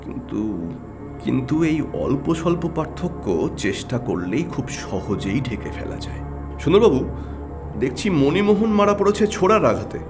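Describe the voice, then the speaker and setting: Animated, probing detective. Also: male voice, fairly high pitch, normal speed, over music. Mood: musing. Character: keen, brooding, excitable male private detective. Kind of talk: audio drama acting.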